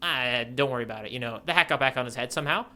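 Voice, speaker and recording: A podcast co-host with a bright, forceful voice pitched right in the middle of a man's range. He talks in a loose, rambling way, circling back to find his words as his enthusiasm carries him along. Nothing about his delivery feels scripted. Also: very fast. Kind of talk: casual manga discussion chat.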